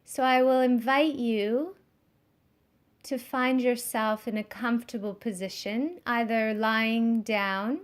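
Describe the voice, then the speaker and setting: A woman giving guided yoga nidra instruction in a soothing, measured, gently instructive way. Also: on the high side.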